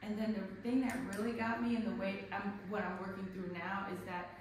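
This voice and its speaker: A woman's voice: mid-range voice, little pitch movement, fairly quick. A thirty-year-old woman speaking candidly and with feeling on a panel, laughing and swearing freely. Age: 30s. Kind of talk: casual panel talk. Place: art gallery, indoors. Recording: echoey gallery room from far off, background noise.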